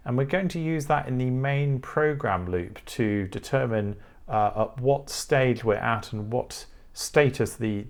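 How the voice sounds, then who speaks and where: A man's voice: medium pitch, gravelly. A man presenting a technical tutorial, explaining each step in a methodical, instructive way.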